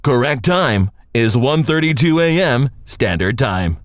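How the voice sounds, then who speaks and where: Wry radio newsman, lively pitch. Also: unhurried, dry recording. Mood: wry newsreading. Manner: wry, casual, dry-humored. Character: laid-back, sardonic male radio newsman and disc jockey. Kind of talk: naturalistic audio drama acting.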